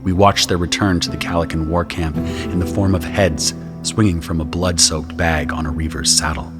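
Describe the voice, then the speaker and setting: A man's voice: low-pitched, steady tone, normal speed, music in the background. A man narrating a scripted audio drama in the first person.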